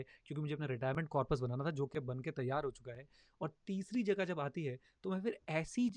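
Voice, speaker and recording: A man's voice: a little high, dry recording. A man in his thirties explaining personal finance in casual, emphatic talk, mixing in English phrases. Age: 30s. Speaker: finance educator, podcast host.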